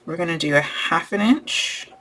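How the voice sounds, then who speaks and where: A woman hosting a craft tutorial, losing her voice and clearing her throat as she talks through each step while working. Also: lowish voice, animated, normal speed, a bit bright, poor audio. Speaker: crafting channel host.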